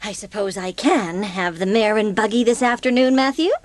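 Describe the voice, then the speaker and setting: An actress playing a stern, brisk, plain-spoken older farm woman in a dramatised audiobook. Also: mid-range voice, fairly even intonation, dry recording, close to an everyday voice.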